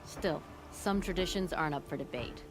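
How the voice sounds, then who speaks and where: A low, blunt voice of a proud forge boss woman in middle age , played by an actress. She boasts with gruff, folksy humor in the manner of a game character. Music plays clearly underneath. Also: moderate pace, natural voice. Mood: boastful, amused. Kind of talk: video game character acting.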